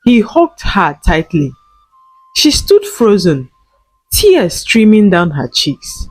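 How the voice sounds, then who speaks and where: A woman storyteller narrating a scripted folktale in a dramatic, deliberate manner. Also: mid-range voice, very animated, husky, faint music behind, rough recording.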